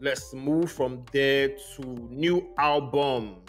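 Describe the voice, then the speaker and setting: A man's voice: medium pitch, slow. Man hosting over background music. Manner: informal, slangy, opinionated. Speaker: music podcast host. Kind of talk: casual music review chat with a co-host.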